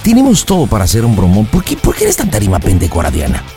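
A man's voice: on the low side, huge pitch swings, quick pace, dark tone, faint music behind. A Mexican man hosting a prank call show, improvising comic banter in a mocking, teasing, slangy way.

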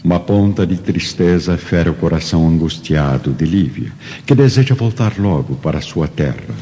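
Male narrator, radio drama, very low mellow voice, grave theatrical delivery, muffled reverberant recording. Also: expressive, moderate pace, a little hiss or hum. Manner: solemn, theatrical. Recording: long reverb, dull audio, music behind.

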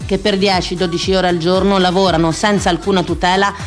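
Woman doing a dramatic radio theatre monologue, deliberate, repeats phrases, music behind her. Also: on the low side, little pitch movement. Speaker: actress and monologue performer.

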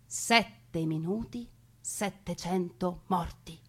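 A woman narrating a scripted stage story in a theatrical, deliberate way with conversational asides. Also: lowish voice, slight background noise. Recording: music behind a radio theatre performance.

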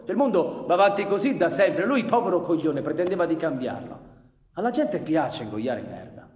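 An actor performing emphatic, brooding narration of a bitter anarchist's story for radio theatre. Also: male voice, on the high side, very animated, normal speed, a little mellow, slight background noise. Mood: resigned, bitter. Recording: reverberant hall, live music behind. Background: live music.

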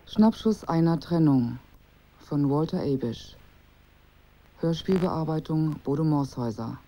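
Noisy recording of a higher-voiced male announcer. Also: animated, measured pace. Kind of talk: slow formal title announcement. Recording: clearly noisy recording with clicks.

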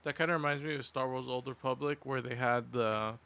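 A man's voice: medium pitch, fairly even intonation, fairly quick, vocal fry, bright, forceful tone, clear, resonant tone. A man co-hosting a podcast in a casual panel discussion. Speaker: podcast co-host.

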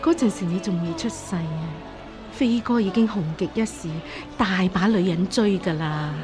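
嘆住氣安慰人嘅香港中年養母，由女演員演繹. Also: female voice, medium pitch, normal speed, music in the background, close to an everyday voice. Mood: resigned. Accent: Hong Kong Cantonese. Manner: sighing, soothing, placating. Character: gentle, resigned middle-aged foster mother, timid peacemaker. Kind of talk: Cantonese radio drama acting.

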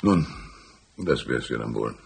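An actor playing a folksy, shrewd older small-town lawman in a radio drama, patronizing and unhurried, with a gravelly, husky voice. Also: a bass voice, lively intonation, exaggerated voice. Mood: probing, calm. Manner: drawn-out, patronizing, calls listener "my son".

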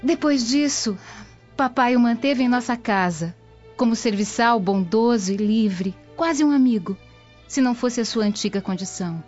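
A woman's voice: a little high, normal speed, a little mellow, natural voice. An actress playing a devout, lovestruck young Roman noblewoman, reminiscing tenderly and solemnly in a radio drama. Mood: tender, wistful. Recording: dull lo-fi radio drama audio. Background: music.

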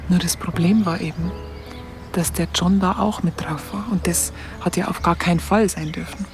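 A woman's voice: lowish voice, steady tone, normal speed, music in the background, close to an everyday voice. An actress playing a photographer recalling her wartime youth in a radio drama, with a Bavarian accent and a hesitant, colloquial, confiding way of telling it. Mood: reflective, confiding. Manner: hesitant, colloquial, reflective storytelling. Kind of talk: intimate first-person radio drama recollection.

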